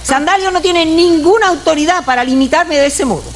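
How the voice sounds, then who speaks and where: A woman's voice: very high-pitched, brisk, slight background noise. An actress playing an indignant, defiant woman in a radio drama.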